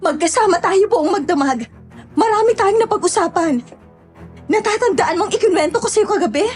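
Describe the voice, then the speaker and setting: A fierce young village woman, as a woman acts her out, shouting defiance and pleading. Her voice is very high. Faint music runs underneath. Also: animated, moderate pace. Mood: coaxing.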